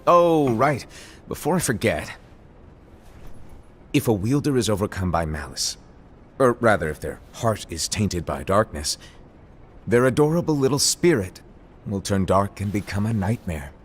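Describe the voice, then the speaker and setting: An actor playing an eccentric, playful master teacher in an animated fantasy film, explaining casually over soft music. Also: male voice, on the low side, unhurried, long pauses, breathy edge. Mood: cautioning. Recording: faint music behind the voice.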